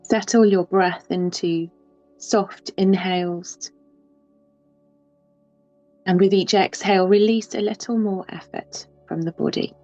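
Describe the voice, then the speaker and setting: A woman leading a guided yoga nidra relaxation, speaking softly and unhurriedly with long pauses. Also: mid-range voice, fairly even intonation, dry recording. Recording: slightly dull, with soft music behind.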